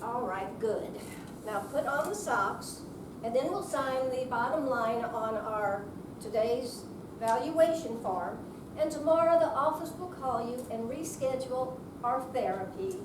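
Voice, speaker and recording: A woman reads the part of a practical visiting therapist in readers theater. The voice is high and slow. The room echoes, with clear noise. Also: expressive. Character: brisk, practical visiting home therapist, female. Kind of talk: amateur readers theater stage reading. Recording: roomy, distant, noisy live room recording.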